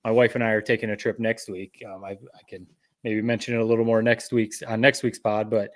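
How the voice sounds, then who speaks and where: A man's voice: on the low side, little pitch movement, fairly quick. Easygoing man, casual podcast chatter. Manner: easygoing, stumbling restarts, chuckles. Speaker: podcast host. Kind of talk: casual chat.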